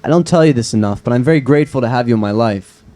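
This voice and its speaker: A man's voice: medium pitch, rapid-fire, warm tone, natural voice. A man acting a wry, earnest, lovesick young man in a live screenplay table read. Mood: earnest, loving.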